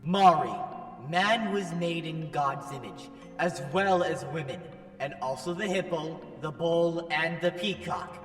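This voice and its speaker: Flamboyant, preachy angel, male narrator acting, high male voice, echoing hall effect, foul-mouthed and scolding. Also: a little slow, a bit bright. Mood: pompous, preachy. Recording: reverberant hall effect over faint music.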